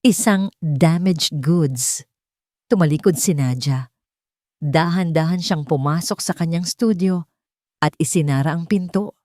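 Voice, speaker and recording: Low-voiced woman narrating dramatic romance, dry sound. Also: unhurried, long pauses, a little mellow, no room sound.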